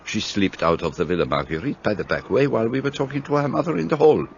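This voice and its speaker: A man's voice: medium pitch, quick pace, gravelly, highs cut off, a little hiss or hum, lightly acted. An actor playing a shrewd, fussy Belgian detective in a radio play, speaking precisely and courteously as he lays out his reasoning. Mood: explaining.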